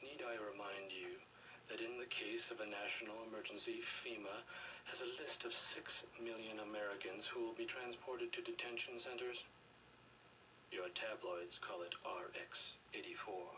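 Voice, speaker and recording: A man acting as a cold, middle-aged government official with a low voice, interrogating in a video game. He is measured, condescending and quietly threatening. The audio sounds distant and noisy. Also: steady tone, close to an everyday voice. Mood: cold menace.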